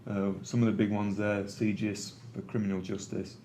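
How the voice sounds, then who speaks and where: A man's voice: lowish voice, little pitch movement, moderate pace, warm tone, slight background noise. A middle-aged man with a Manchester accent, giving a conversational technical presentation to a room, with frequent ums and uhs. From England. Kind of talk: conference presentation. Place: meeting room with an audience.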